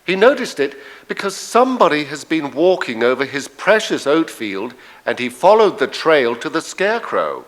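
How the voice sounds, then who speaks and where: An actor playing a steady, matter-of-fact man in an audiobook dramatisation. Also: a little high, moderate pace, hardly any pauses, rough recording.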